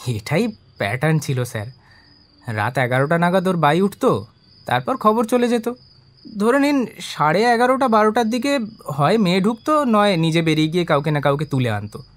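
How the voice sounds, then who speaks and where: An actor in an audio drama playing an eager, deferential small-time private investigator who earnestly defends himself to the police. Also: male voice, high voice, lively intonation, moderate pace. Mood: eager, defensive.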